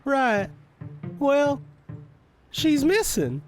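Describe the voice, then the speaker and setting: Actor playing a high-voiced, eager junior detective. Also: male voice, very animated, faint music behind, exaggerated voice. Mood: earnest. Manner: earnest, slow, a bit proud. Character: earnest newly promoted male detective. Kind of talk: audio drama acting.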